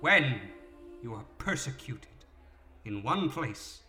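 A solemn prison minister reading scripture. He is grave and halting, extremely slow, with a bright, forceful tone. The voice rings in an echoey room. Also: mid-range voice, big pitch swings, faint music behind, heavily acted. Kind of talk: scripture reading in audio drama.

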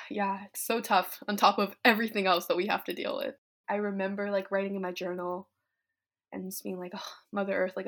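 A fifteen-year-old young woman from Vietnam reflects casually and candidly on her life, thoughtful, often dropping in "like" and "you know". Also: mid-range voice. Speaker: teenage climate activist.

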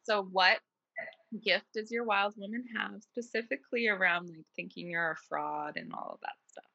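Bright, animated woman coach. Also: moderate pace, vocal fry, lo-fi sound. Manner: animated, playful, swears casually, acts out voices in high tones. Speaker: coach, guest. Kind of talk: casual interview chat.

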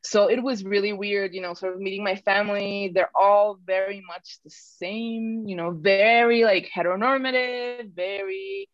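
A woman's voice: mid-range voice, fairly even intonation, moderate pace, few pauses, no room sound, lo-fi sound. A woman from Mexico, a podcast guest, telling a personal story in a casual, chatty way full of restarts.

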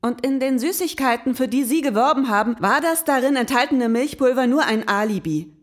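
An actress playing a prim young investigator in an audio drama, speaking in precise, formal, matter-of-fact sentences. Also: female voice, sits high, lively intonation, brisk. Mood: stern. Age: young adult. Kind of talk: scripted audio drama acting and narration.

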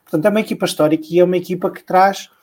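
A man's voice: sits high, fairly even intonation, very fast, darkish tone. A man co-hosting a casual podcast, rambling and thinking aloud with many repeats. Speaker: podcast co-host. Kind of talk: casual podcast chat about motor racing.

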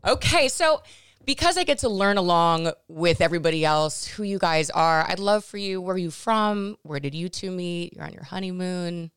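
A woman's voice: lowish voice, moderate pace, clear, resonant tone, no room sound, clean studio sound. A woman from California hosting a podcast interview, chatty and upbeat with frequent restarts. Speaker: podcast host.

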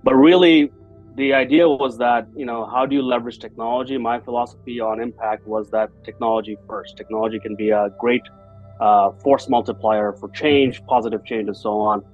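A man's voice: mid-range voice, fairly even intonation, fairly quick, highs cut off, soft background music. A man in his forties from Bangladesh, a guest entrepreneur talking in an earnest, reflective way, with many fillers. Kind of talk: conversational interview.

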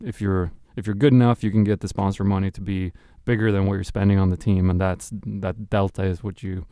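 A middle-aged man from Sweden with a Swedish accent, a racing driver telling stories in a relaxed, thoughtful way on a podcast. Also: sits low, a little fast, no room sound, studio quality. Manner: relaxed, thoughtful storytelling with many restarts. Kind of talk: casual podcast chat.

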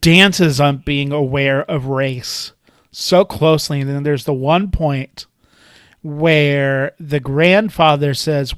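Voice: medium pitch, takes it slowly, smooth, no echo, studio quality. A podcast co-host in a casual film discussion, talking in a wry, emphatic way and repeating phrases.

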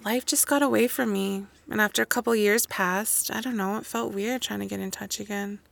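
A woman's voice: mid-range voice, fairly even intonation, brisk, gravelly, fairly bright tone. A woman playing an apologetic, awkward young woman back among old friends in a naturalistic audio drama, speaking in a hesitant, conversational way.

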